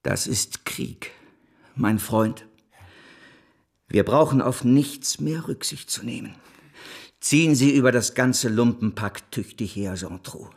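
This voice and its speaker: An actor in a historical German radio drama playing a ruthless, combative French banker, blustering and scornful. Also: male voice, medium pitch, slow, gravelly, long pauses, husky, full character voice.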